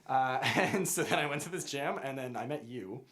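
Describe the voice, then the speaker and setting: A man's voice: mid-range voice, lively intonation, normal speed, fairly bright tone. Young man, from Montana, guest musician, dry self-mocking jokes, mid-range voice. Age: young adult. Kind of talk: casual joking interview.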